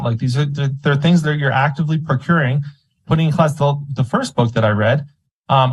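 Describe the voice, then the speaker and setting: A man's voice: mid-range voice, low-quality recording. Man giving indignant public testimony. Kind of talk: public comment speech at a meeting. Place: school board meeting room.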